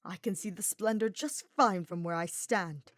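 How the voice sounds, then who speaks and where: A woman voicing a reserved, uneasy male mage who speaks in stiff, halting, formal phrases in a story reading. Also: medium pitch, no room sound, clean studio sound, close to an everyday voice. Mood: strained. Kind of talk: dramatised fiction reading.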